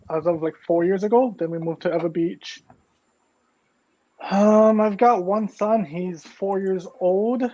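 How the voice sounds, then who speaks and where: A man in his thirties from Hawaii, co-hosting a casual podcast, rambling with lots of fillers. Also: sits high. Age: 30s. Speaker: podcast co-host. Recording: dull lo-fi audio.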